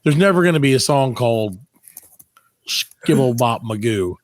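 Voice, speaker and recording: A man hosting a comedy podcast, chatting casually and ranting in mock exasperation, his voice hoarse from overuse. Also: medium pitch, expressive, moderate pace. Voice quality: worn-out, overused voice. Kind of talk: casual comic chat.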